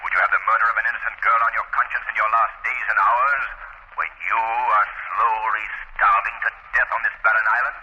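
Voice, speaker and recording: Menacing male avenger, rasping old radio. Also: fairly low pitch, fairly even intonation, brisk. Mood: taunting menace. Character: menacing unseen male crime-fighting avenger who taunts criminals. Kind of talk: 1940s radio drama acting. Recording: dull old radio recording.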